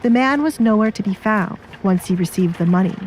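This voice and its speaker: A woman's voice: medium pitch, animated, a little fast, a little mellow, a little hiss or hum. A woman narrating a scripted audio drama as its storyteller.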